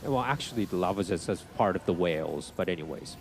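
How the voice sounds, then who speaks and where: A man giving live gameplay demonstration commentary to an audience, explaining informally and a little haltingly. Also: low voice, animated, crowd noise.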